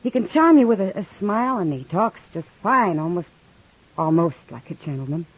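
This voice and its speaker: A woman's voice: on the low side, lively intonation, moderate pace. An American woman playing a worldly, caring saloon woman, earnest and confiding, in 1950s radio western style. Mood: troubled. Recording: dull, muffled 1950s radio recording.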